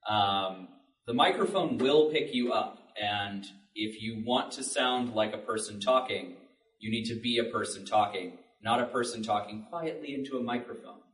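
An echoey, distant recording of a man with a fairly low voice. He speaks as a panelist, explaining things informally and plainly. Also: moderate pace, fairly bright tone. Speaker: panelist, audio drama maker. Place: public library panel room. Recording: echoey room, distant mic.

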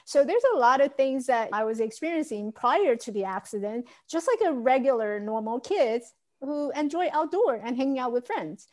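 A woman's voice: high voice, lively intonation, moderate pace, darkish tone. A woman from Taiwan telling her life story as an interview guest, earnest and candid. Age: adult. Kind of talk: personal story in a podcast interview.

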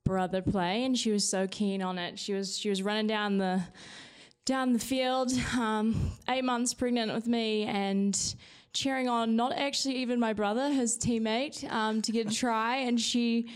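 A woman's voice: mid-range voice, clean tone. A woman from New Zealand with a New Zealand accent, chatting casually as an interview guest. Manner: chatty, with frequent restarts and light laughs.

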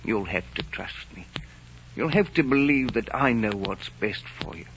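Casting: stern, caring older innkeeper dad, 1940s radio melodrama, dull recording with faint noise. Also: male voice, fairly low pitch, animated, unhurried. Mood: sorrowful, firm. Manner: earnest, paternal, firm. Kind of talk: 1940s radio drama acting.

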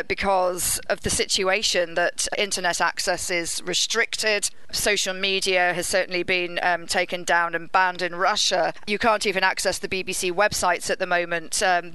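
A woman's voice: fairly low pitch, pushed and bright, smooth, dry recording, low-quality recording. A woman speaking as an expert guest in an interview, explaining things earnestly in a conversational way.

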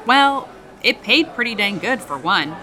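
A woman's voice: medium pitch, animated, a little slow, pushed and bright. An actress playing a brash, flippant young woman, a hired thief, in an audio drama with a sarcastic, casual manner. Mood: casual, grudging. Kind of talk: naturalistic audio drama dialogue.

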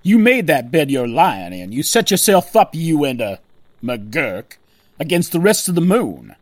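A blustering, animated corporate schemer. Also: male voice, a little high, a little slow, no echo. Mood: accusing. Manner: blustering, condescending. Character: scheming corporate boss on the run, irritable, smug. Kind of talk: audio drama acting.

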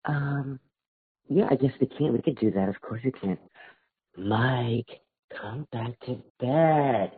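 A man's voice: mid-range voice, slow, breathy edge, dry recording, voice pushed down. A man voicing a casual salon owner answering a business call on a phone-like recording. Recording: dry, phone-like voicemail audio.

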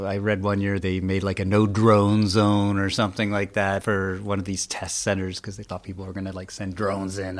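A man speaking as a journalist guest, explaining things in a casual, colloquial and slightly wry way. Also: lowish voice.

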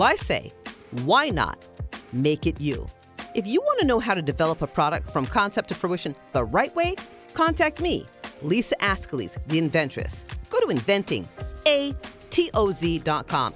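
A woman reading an upbeat advertisement for her product development business. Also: lowish voice. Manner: sing-song, promotional. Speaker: inventor in an advertisement. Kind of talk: upbeat ad read.

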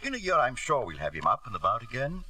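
An actor playing a clinical, gently authoritative medical specialist in a 1950s radio drama, pausing and soothing evasively. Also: male voice, mid-range voice, huge pitch swings, exaggerated voice, rough recording.

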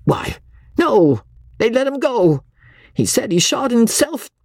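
A strict middle-aged wife, high and quavering, gasps out her alarm in a shrill, husky voice, as a woman reads her. Her pitch swings sharply, and the recording is dry and close. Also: very animated, slow pace, a little mellow, no room sound, exaggerated voice.

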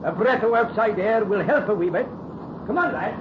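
A noisy, muffled old radio voice: a hearty Scottish foreman. He is high-pitched, obliging and respectful to his betters. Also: animated, normal speed. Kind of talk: 1930s radio acting.

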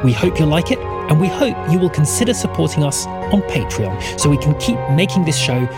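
A man's voice: on the high side, sing-song, fairly quick, over loud music. A man hosting a podcast, reading a scripted announcement and credits to listeners. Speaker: podcast host.

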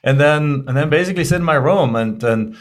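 A man's voice: a little high, fairly quick. German-accented man telling his story. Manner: chatty, rambling with restarts. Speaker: guest. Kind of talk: casual interview storytelling.

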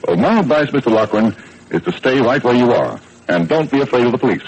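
An actor playing a steady, courteous police detective with authority in an old-time radio drama. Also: male voice, medium pitch, quick pace, husky, low-quality recording. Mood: calm, questioning. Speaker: police inspector.

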